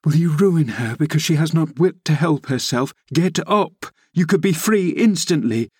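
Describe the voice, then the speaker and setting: A male reader puts on an agitated servant woman from a classic novel, lifted well above his natural pitch. She exclaims, scolds and warns with passionate urgency. The sound is dry. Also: lively intonation, normal speed, darkish tone, husky, no echo. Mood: scolding, horrified. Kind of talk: dramatised classic novel reading.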